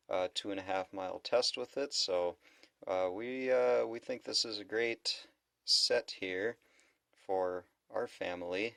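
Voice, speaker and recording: Male reviewer, deep and gravelly, explanatory unscripted delivery, close dry sound. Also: a bit bright, dry recording. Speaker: product reviewer. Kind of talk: unscripted product review talk.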